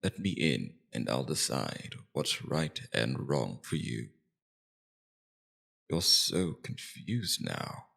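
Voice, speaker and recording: A man playing a suave, menacing demon king in an ASMR roleplay, coaxing and condescending in a slow, deliberate, domineering way. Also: rumbling low, sing-song, gravelly, a bit bright, husky, full character voice. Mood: hypnotic coaxing. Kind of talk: ASMR roleplay character acting.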